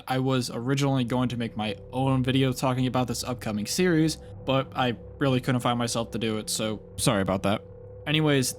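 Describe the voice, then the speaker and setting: A man's voice: mid-range voice, slight background noise. A young man giving a casual, loosely scripted commentary that reviews horror series, with occasional stumbles. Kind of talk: casual video essay commentary.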